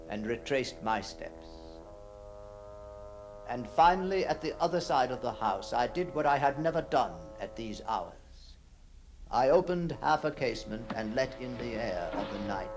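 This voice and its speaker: Slow, gravelly haunted gentleman. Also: mid-range voice, rough recording. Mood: grave, weary, then brooding, desolate. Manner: theatrical, halting, often dropping to breathy whispers. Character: haunted, obsessive gentleman narrating his own ghostly encounter. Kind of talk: dramatic first-person monologue, radio ghost story.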